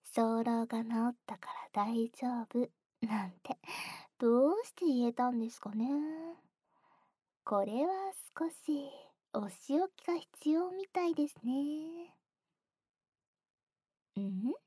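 A teasing young woman, a junior to the listener, as an actress plays her, talks slowly and breathily and often drops to a close whisper. She giggles between lines. The sound is dry and intimate, with no room around her. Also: on the high side, mellow, slightly rough, no room sound. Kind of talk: whispered ASMR roleplay.

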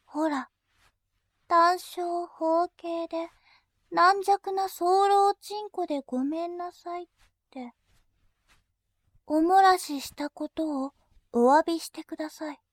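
A woman voicing a composed female bank clerk who speaks in very formal, deliberate language and turns dominating and scornful. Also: extremely high pitch, fairly even intonation, no echo, studio quality. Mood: polite teasing. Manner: very formal polite speech, slow and deliberate. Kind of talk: adult audio drama acting.

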